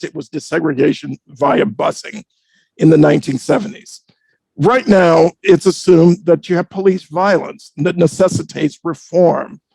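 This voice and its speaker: A man speaking as a reporter on a discussion panel, reflective, with deliberate, halting, measured phrasing. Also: on the high side, gravelly, mellow, breathy edge, no echo.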